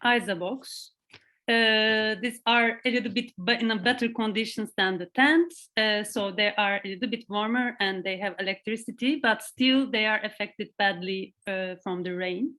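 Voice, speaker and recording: A woman's voice: mid-range voice, moderate pace, bright, forceful tone. A woman moderating a panel, explaining steadily and handing the floor to other speakers. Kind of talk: presentation.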